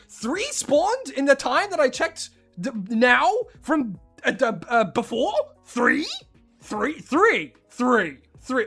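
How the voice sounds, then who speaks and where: Australian streamer, casual gaming chat, rambling and excitable, voice all over the place. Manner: rambling, excitable, jumps into high squeals of surprise.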